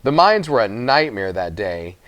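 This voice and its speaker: A man's voice: fairly low pitch, very animated, unhurried, a bit bright, no echo. A man narrating a children's novel aloud from the text as a storybook reader.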